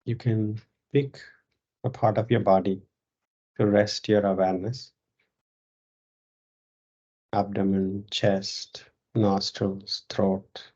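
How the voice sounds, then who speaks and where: A yoga teacher guiding a meditation in a calm, measured way, pausing between short phrases, on a dull, muffled recording. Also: low voice. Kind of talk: guided meditation instruction.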